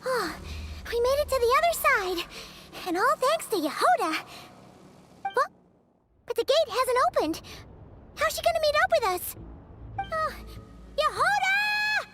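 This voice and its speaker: A woman voicing a small, excitable childlike fairy companion in a video game, with a squeaky voice. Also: a little slow, pauses often, exaggerated voice. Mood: excited. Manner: excitable, exclaiming, refers to self in third person. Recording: loud game music behind.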